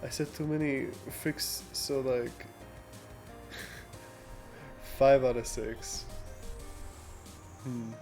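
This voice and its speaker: A man's voice: mid-range voice, fairly even intonation, darkish tone. Casual male livestreamer over background music. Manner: halting, rambling delivery with frequent false starts and laughter. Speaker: livestreamer chatting with viewers. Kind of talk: casual chat, reading and answering live comments.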